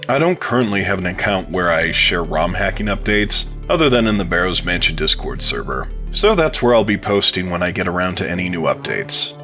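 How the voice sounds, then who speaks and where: Male interview guest, low voice, articulate and measured explainer, scripted-sounding delivery, clear music bed underneath. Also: big pitch swings, bright, forceful tone, dull audio. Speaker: interview guest, game modder.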